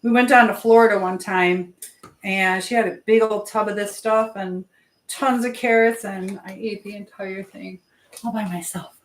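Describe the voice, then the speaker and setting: A woman's voice: mid-range voice, steady tone, moderate pace, slight background noise. A woman chatting casually to viewers on a live stream, rambling along and thinking aloud. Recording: echoey, roomy sound.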